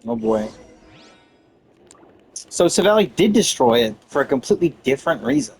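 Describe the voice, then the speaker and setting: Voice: medium pitch, sing-song, moderate pace, soft background music. A game streamer giving casual commentary during a playthrough.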